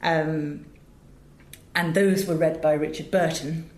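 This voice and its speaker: An English woman in middle age speaks in a fairly low voice with a roomy echo around it. She is articulate and talkative, telling stories in a conversational interview style and wandering into asides. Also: steady tone, slow, darkish tone. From England. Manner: chatty, digressive, articulate. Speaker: interview guest, voice director and radio producer. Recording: echoey, roomy sound.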